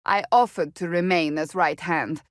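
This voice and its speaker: A woman's voice: lowish voice, moderate pace, no room sound, close to an everyday voice. A woman as a stern, proud warrior woman, dry recording. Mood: earnest, measured. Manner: formal, clipped, earnest delivery. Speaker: video game character voice. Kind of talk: dramatic fantasy game dialogue.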